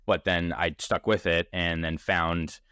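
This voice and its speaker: A man of thirty speaks in a low voice, casual and energetic. He thinks aloud as a founder on a podcast, restarting often. The sound is dry and close. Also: unhurried, clear, resonant tone, no room sound. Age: 30s. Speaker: startup founder, podcast guest. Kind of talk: casual interview conversation. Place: Toronto.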